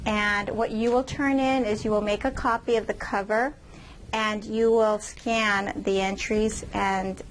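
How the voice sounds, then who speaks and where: A woman professor giving a recorded lecture over slides, reading points aloud in a measured, explanatory way. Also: mid-range voice, steady tone, slight background noise, rough recording.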